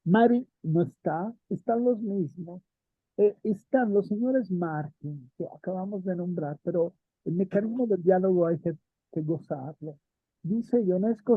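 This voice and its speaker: A man's voice: sits high, no room sound, narrow, tinny audio. A man teaching theater history to a small class, thinking aloud and checking in with his students. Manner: thinks aloud, asks students questions, frequent "¿no?". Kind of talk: lecture and class discussion.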